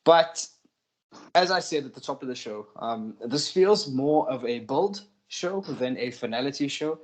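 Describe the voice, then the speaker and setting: A man's voice: medium pitch, animated, a little slow, low-quality recording. A man co-hosting a wrestling predictions podcast, thinking aloud as he weighs his picks. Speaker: podcast co-host. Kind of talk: casual wrestling predictions chat.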